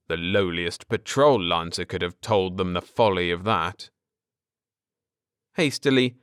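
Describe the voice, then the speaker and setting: A man with a fairly low, smooth voice narrates a science fiction story. His reading is very slow and theatrical, weighting phrases for drama. The sound is dry and close. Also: fairly bright tone, dry recording. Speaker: audiobook narrator.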